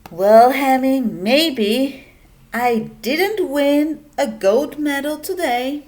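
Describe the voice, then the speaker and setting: A woman's voice: high-pitched, extremely slow, a little mellow, voice pushed up. A woman voicing an earnest, hot-tempered young male pig athlete in a dramatic picture book read-aloud. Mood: proud, upbeat. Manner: emphatic, dramatic.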